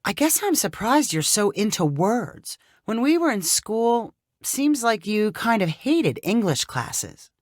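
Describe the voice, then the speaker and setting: A woman's voice: medium pitch, very animated, slow pace, hardly any pauses, a little mellow, no room sound, studio quality, voice pushed up. A woman voicing a polite, mildly doubtful female friend in a short audio story.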